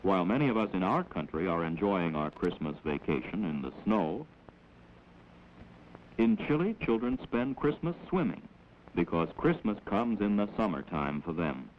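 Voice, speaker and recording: Male narrator, American, for a 1940s classroom film: lowish voice, explanatory delivery, dull vintage soundtrack with faint noise. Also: big pitch swings. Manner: measured, explanatory, instructive. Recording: dull, noisy old 16mm film soundtrack.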